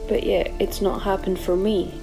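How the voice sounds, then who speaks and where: Woman playing audio drama companion, uneasy, inquisitive, reserved, medium pitch, clear music bed. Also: flat delivery, moderate pace, close to an everyday voice. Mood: challenging.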